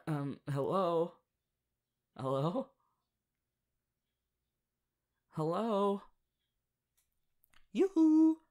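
An affectionate young anime bunny boy, acted by a woman for an audio roleplay. The voice is soft and lowish for a woman, unhurried, with very lively swings in pitch. The delivery is hesitant and excitable. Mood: playful, coaxing, then puzzled. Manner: hesitant, giggly, swinging from murmurs to excited exclamations. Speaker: voice actor in ASMR roleplay.

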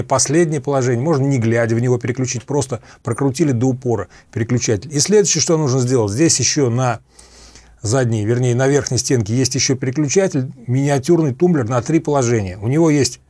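A man's voice: mid-range voice, darkish tone, no echo. Middle-aged male presenter, methodical technical demo, close dry recording, mid-range voice. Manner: methodical, repeats and clarifies terms. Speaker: host reviewing radio equipment. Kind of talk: explanatory product demonstration. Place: small room at a computer desk, indoors.

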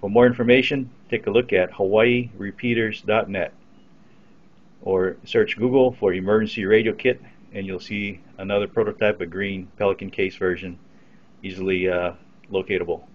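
A man with a fairly low voice talks through a piece of equipment in an unscripted, matter-of-fact way. He sounds like a hobbyist giving a practical demonstration. The sound is dull and lo-fi, as from a simple camera microphone. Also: steady tone, moderate pace. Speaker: amateur radio hobbyist demonstrating his equipment.

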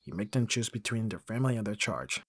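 A male actor plays a gruff, protective bodyguard in an audio roleplay. The voice is husky and raspy, recorded close and dry. He talks bluntly and guardedly, with sighs and curses. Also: on the low side, lively intonation, fast talker, no room sound. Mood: grim, blunt. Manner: gruff, sighing, swearing, blunt. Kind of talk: intimate ASMR roleplay acting.

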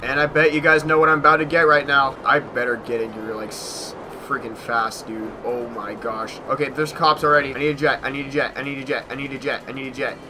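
A young American man giving casual gameplay commentary in an excitable manner, repeating short phrases. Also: medium pitch, brisk, hardly any pauses, background noise. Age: young adult. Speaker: gaming YouTuber. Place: small bedroom at home.

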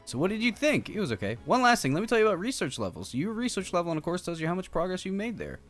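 A man's voice: high voice, wildly swooping pitch, racing. A man in his thirties doing casual, jokey gaming commentary, thinking aloud and swearing now and then. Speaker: game streamer. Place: indoor streaming setup. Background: video game music.

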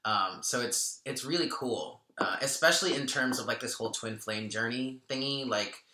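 A chatty man in his thirties talks straight to the camera from a small room at home, with a very bright, forward tone. He laughs often, trails off and restarts his sentences. The room sounds echoey around him. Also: mid-range voice, moderate pace. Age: 30s. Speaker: spiritual channel host. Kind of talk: casual vlog talk to camera.

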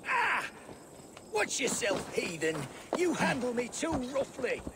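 Scornful defiant saxon lord in a game, really high voice, swings all over. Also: male voice, huge pitch swings, slow pace, full character voice. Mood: defiant, furious. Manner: haughty, spitting insults, theatrical. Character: defiant, scornful captured Saxon thane, middle-aged man. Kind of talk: dramatic game cutscene acting. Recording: game audio over noisy ambience.

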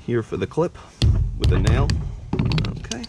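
A man's voice: mid-range voice, measured pace, loud music behind the voice. A young man hosting a fishing channel, casually walking through a hands-on demonstration with frequent "you know" and "uh". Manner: relaxed, fillers like "you know" and "uh". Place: outdoors at a workbench.

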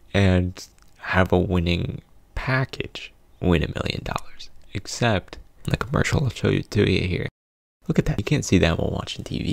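A young man telling a casual comedic story in a conversational way, with mock-dramatic pauses, close on a studio mic. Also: low voice, creaky, no room sound. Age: young adult. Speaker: video host, storyteller. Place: small indoor home studio. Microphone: close studio condenser mic with pop filter.

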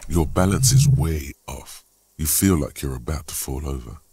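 A man voicing a brooding inner voice that narrates a video game in a measured, husky, gravelly way. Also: extremely low pitch, dark tone, no room sound, heavily acted. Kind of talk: scripted second-person narration.

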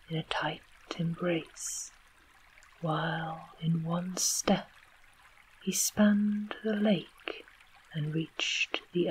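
A storyteller reading a fairy tale aloud entirely in a soft whisper. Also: mid-range voice, a little hiss or hum, poor audio. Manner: slow, unvoiced whisper throughout.

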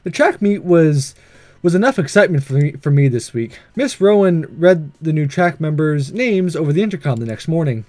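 A man voicing an earnest, self-doubting teenage schoolboy's inner thoughts in a visual novel, read softly and reflectively. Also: fairly high pitch, animated, normal speed, no echo, close to an everyday voice. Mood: weary.

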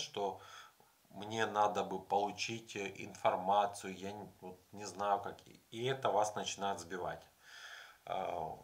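A man in his forties with a low voice and a gravelly edge explains things casually to a camera set up close in a small room. He talks like he's chatting, stopping often for hesitation sounds while he finds the next example. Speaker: language learning vlogger. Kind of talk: casual advice talk to camera. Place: small room indoors with curtains.

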